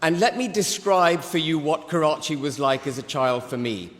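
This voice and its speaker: A man in his sixties, from Pakistan, giving a forceful, rhetorical debate speech with emphatic repetition. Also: on the high side, normal speed. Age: 60s. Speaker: debate speaker. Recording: long hall reverb.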